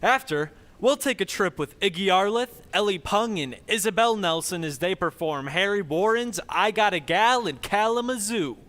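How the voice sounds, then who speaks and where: Male show announcer, old-time radio style, high-pitched and animated, long hall reverb. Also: moderate pace, hardly any pauses. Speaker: announcer, host.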